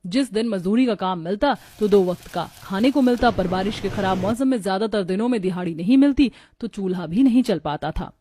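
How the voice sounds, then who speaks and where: Woman narrating a story, medium pitch. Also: fairly quick, hardly any pauses, dark tone, a little hiss or hum. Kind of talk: scripted dramatic storytelling narration.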